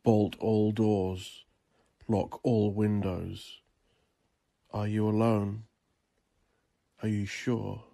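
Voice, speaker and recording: A man reads gothic horror in a low voice full of heavy gravel. His delivery is slow, ominous and deliberate, shaped as theatrical storytelling for dark fiction. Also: level tone. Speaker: narrator of a horror audiobook drama. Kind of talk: dramatic gothic horror narration.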